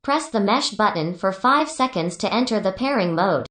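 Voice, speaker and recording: A woman's voice: medium pitch, expressive, moderate pace, a bit bright. Woman reading instructions, roomy echo. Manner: clipped step-by-step instructions. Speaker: product tutorial narrator. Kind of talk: scripted instructional narration.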